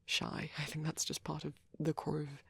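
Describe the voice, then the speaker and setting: Woman playing a low, husky, shy vampire boy. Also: completely flat delivery, moderate pace, no room sound, full character voice. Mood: shy, nervous. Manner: hesitant, stammering, rambling. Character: shy, nervous young male vampire on a date. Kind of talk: soft-spoken ASMR roleplay.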